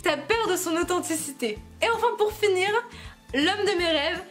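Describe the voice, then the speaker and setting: A young woman from France talks to her viewers in a loose, joking vlog style, laughing at herself as she goes. Her voice sits high, and faint music plays quietly behind her. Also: normal speed. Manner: playful, giggly, self-mocking. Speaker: YouTuber. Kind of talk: casual vlog chat to camera.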